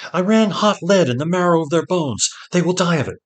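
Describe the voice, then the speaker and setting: A man's voice: fairly high pitch, expressive, fairly quick, voice pushed up, close to an everyday voice. A man voicing an imperious, desperate witch lady in an audiobook fantasy, urgent and commanding.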